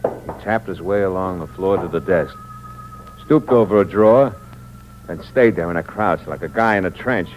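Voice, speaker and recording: A man's voice: sits low, normal speed, natural voice. An actor playing a cold, hard-boiled con man who narrates in the first person in a 1950s radio drama, with tough, clipped slang and a menacing edge. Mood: narrating. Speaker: narrator and lead character. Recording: dull 1950s radio broadcast with hum.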